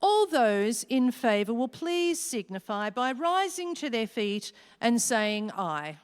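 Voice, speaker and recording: A woman playing a commanding devil who presides over fiends in a rhyming radio play, speaking deliberately and theatrically. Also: a little high, very animated, clean tone. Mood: stern, official. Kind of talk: staged radio play acting. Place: live stage in a hall. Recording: echoey hall with long reverb.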